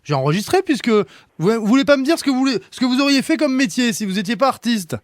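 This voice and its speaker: A man's voice: very high voice, animated, fast talker, dry recording, clean studio sound. A man playing a pushy, easily offended regional radio journalist on a prank call, hesitant and rambling but insistent. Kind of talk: prank phone call in conversation.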